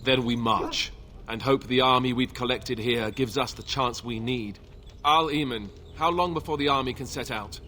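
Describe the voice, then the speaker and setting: An actor playing a wry, earnest, boyish warrior companion in a fantasy video game, with an informal way of talking. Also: male voice, mid-range voice, normal speed, sharp tone, slight background noise, close to an everyday voice. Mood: resolute.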